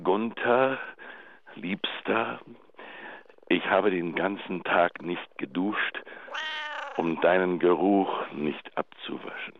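An actor playing a betrayed, bitter husband leaving emotional, halting phone messages in a German radio drama. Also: male voice, deep voice, gravelly, full character voice. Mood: wounded, wistful. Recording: dull answering-machine sound, faint noise.

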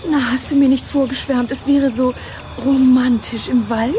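A woman's voice: high voice, fairly even intonation, normal speed, background noise, natural voice. A young female performer playing a fussy, vain schoolgirl in a radio play, with an affected, coy, complaining manner. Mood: uneasy, grumbling. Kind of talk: German radio play acting.

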